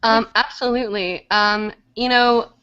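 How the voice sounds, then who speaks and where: A woman's voice: fairly high pitch, fairly even intonation, measured pace, pushed and bright, smooth, highs cut off. Slightly congested woman chatting on a stream. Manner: chatty, many fillers, laughs easily. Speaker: live show host. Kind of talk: casual live-stream chat reading comments.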